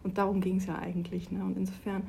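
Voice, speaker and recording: A middle-aged woman from Switzerland speaks. She talks warmly and enthusiastically, often restarting her phrases. A faint noise sits under her voice. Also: mid-range voice, flat delivery, fast talker, gravelly. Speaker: sports organization official. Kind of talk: conversational interview.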